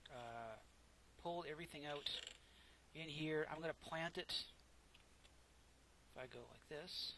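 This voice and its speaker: A soft-spoken man casually narrating his own gameplay, rambling and correcting himself as he goes, close to a desk microphone. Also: a little high, measured pace, long pauses, noisy background. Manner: rambling, self-correcting, chatty. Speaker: gameplay commentator. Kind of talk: casual let's play commentary.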